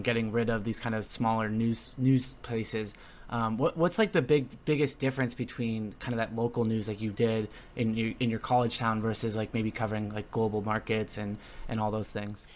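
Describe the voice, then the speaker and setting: A young man from Southern California hosting a casual interview, friendly and often hesitant, repeating words as he finds his questions. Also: fairly low pitch. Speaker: podcast host.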